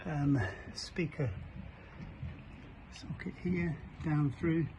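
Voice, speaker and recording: A man's voice: fairly high pitch, steady tone, extremely slow, vocal fry. A man presenting an informal hands-on DIY walkthrough, sometimes hesitant and feeling for words as he works. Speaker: presenter of a boat fitting shop's how-to videos. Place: aboard a small cabin motorboat. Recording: dull, lo-fi camera sound with faint noise.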